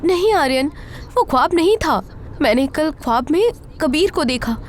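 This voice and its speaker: A woman's voice: sits high, expressive, moderate pace, slight background noise, voice pushed up. A woman voicing a frightened young wife in a horror story, earnest, anxious and insistent. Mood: insistent, fearful. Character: frightened, haunted young wife grieving a lost child. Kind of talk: audio horror story acting.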